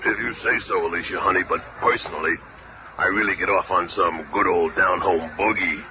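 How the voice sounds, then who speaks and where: An actor playing a gruff, short-tempered rocky monster hero with gravelly, slangy, blustering talk in a 1970s radio drama. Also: male voice, low voice, fairly even intonation, fairly quick, lightly acted. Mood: wry. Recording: noisy lo-fi 1970s radio recording.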